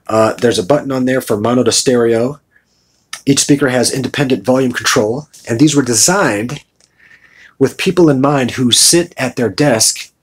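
A man's voice: medium pitch, breathy edge. A middle-aged American man talking informally and conversationally in a casual show-and-tell vlog. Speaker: video host.

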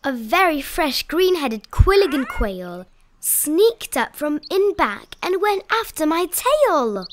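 A young woman reading rhyming children's picture books aloud in an expressive, playful storytelling style. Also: high voice, slight background noise. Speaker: children's picture book reader.

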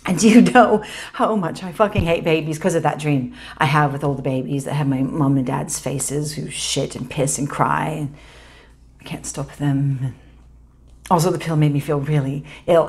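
A woman's voice: on the low side, darkish tone, lightly acted. A woman performing a dramatic stage monologue as a guilty young woman, blurting out a confession in an urgent, breathless run-on. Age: young adult.

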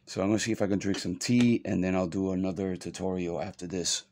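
A middle-aged man narrates a drawing lesson in a very low, hoarse voice, worn down by a cold. He speaks softly and haltingly, with fillers between thoughts. A faint hiss sits under the recording. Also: fairly even intonation. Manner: soft-spoken, halting with fillers. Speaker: art instructor. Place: indoors at a drawing desk.

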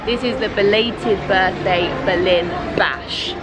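A woman's voice: mid-range voice, takes it slowly. A woman in her twenties chatting casually as a companion in a travel vlog. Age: 20s. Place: airport hall and city street. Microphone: camera at partner's arm's length. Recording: loud street and airport noise.

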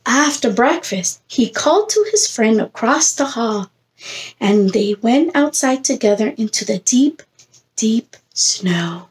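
A woman's voice: a little high, animated, slow pace, low-quality recording. A woman in her thirties, a preschool teacher reading a picture book aloud to young children, expressively, coaxing them with questions and prompts. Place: indoor room against a plain textured wall.

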